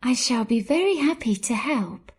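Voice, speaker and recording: A woman's voice: fairly high pitch, animated, normal speed, breathy edge. A woman voicing a polite, kind, industrious young girl in a children's fairy tale. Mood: polite, willing. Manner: polite, earnest.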